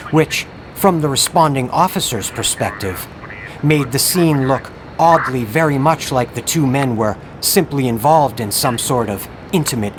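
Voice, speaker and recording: A man narrating a scripted horror story in a dramatic, deliberate way. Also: medium pitch, background noise. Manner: dramatic, ornate, deliberate phrasing. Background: dramatic music bed.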